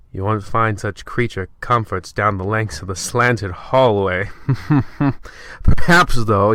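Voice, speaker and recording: An actor playing a creepy, theatrical horror-show narrator with gleefully macabre, ornate delivery in an old-time radio parody. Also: male voice, low-pitched, bright, forceful tone, no echo. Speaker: narrator and host of a radio play.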